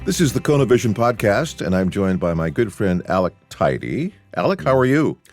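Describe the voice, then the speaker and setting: Relaxed podcast host man. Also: lowish voice, normal speed, faint music behind. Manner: relaxed, friendly questioning. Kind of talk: casual interview.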